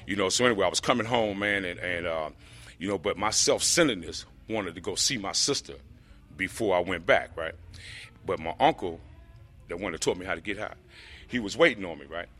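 A man with a deep voice telling his personal recovery story to a group, rambling with lots of fillers. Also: expressive, fairly quick, a bit bright. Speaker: speaker sharing a recovery story.

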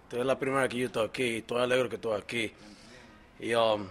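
A middle-aged Dominican-American man from New York giving an earnest, halting personal testimony at a recovery meeting, switching between Spanish and English fillers. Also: medium pitch, fairly even intonation, fairly bright tone, slight background noise. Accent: Dominican-American, mixing English into Spanish. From New York, Dominican family. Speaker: speaker sharing at a recovery meeting.

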